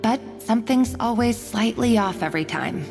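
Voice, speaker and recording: An anxious young female kitchen worker speaks in a high voice with reverb around it. She is earnest, apologetic and hesitant, in a video game scene with light music. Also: animated, moderate pace, creaky, darkish tone. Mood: earnest, explanatory.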